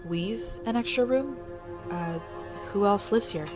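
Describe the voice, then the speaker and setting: A woman's voice: mid-range voice, measured pace, over music, natural voice. An actress playing a shaken, polite refugee woman with a hesitant manner in an audio drama. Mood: uncertain. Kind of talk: naturalistic audio drama acting.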